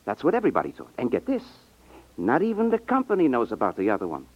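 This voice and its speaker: A man's voice: mid-range voice, wildly swooping pitch, fast talker, slightly rough. A man acting in a 1950s radio drama as a brash, pushy, slangy salesman feigning honesty. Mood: excited scheming. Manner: slangy, insistent. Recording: muffled 1950s radio recording.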